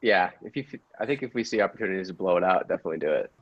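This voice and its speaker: Low-voiced casual man, lively pitch. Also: racing. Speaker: improv cast member. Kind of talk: casual chat. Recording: dull sound, faint noise, clicks.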